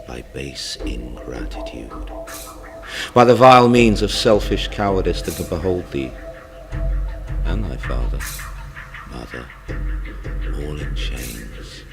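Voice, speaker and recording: A ruthless tyrant declaims stage verse in an extremely deep voice. He is grandiose and slow, with loud music under him. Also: lively intonation, full character voice. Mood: grandiose, menacing. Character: ruthless tyrant gloating over his murderous rise. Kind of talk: theatrical classical verse acting.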